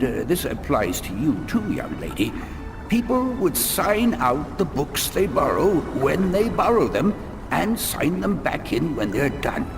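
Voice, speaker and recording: Man playing a fussy, high-pitched, animated old librarian. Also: full character voice. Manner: rambling, dithering, chiding, easily sidetracked. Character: fussy, absent-minded, rambling elderly male librarian monk. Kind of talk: fan redub of adventure game dialogue.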